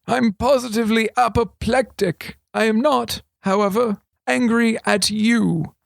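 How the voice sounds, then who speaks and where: A man voicing an old male wizard regent who is formal, paternal and dryly playful, speaking slowly and deliberately. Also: very high-pitched, steady tone, creaky, no echo, clean studio sound, pitched above the performer's own voice, full character voice. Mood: stern kindly. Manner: slow, deliberate, grandly formal. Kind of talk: audiobook character voice.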